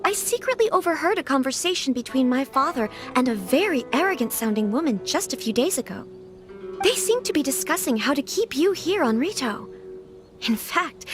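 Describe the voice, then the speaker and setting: A formal, earnest young noblewoman. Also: high voice. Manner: formal, courteous phrasing, slight nervous stammers. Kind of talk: video game voice acting.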